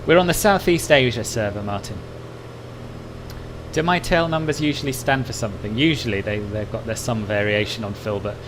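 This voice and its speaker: A man's voice: fairly low pitch, fairly quick. A man who speaks English natively, chatting casually and politely as host of a flight simulator livestream and reading out viewer comments. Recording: clear steady background hum.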